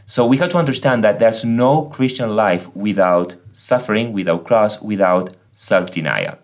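A man's voice: on the low side, moderate pace. A man from Argentina with an Argentine Spanish accent, a priest and native Spanish speaker, explaining faith earnestly in a podcast conversation.

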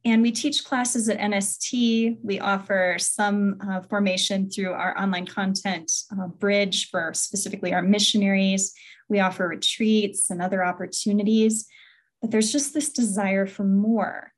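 A woman's voice: medium pitch, steady tone, unhurried. A woman hosting a podcast, speaking in a conversational interview style. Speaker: podcast host.

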